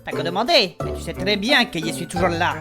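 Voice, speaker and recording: A man's voice: high-pitched, expressive, fast, music in the background. A man playing a flirtatious, touchy, boastful suitor in a comic fantasy audio drama, with a cajoling manner. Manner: cajoling, talks of himself in third person.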